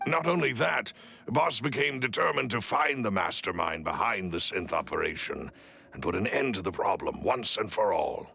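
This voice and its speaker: A man playing a loyal, courteous gentleman retainer in a video game, formal and deferential, with a gravelly voice. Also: lowish voice, expressive, moderate pace, slight background noise, full character voice. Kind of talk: video game character acting.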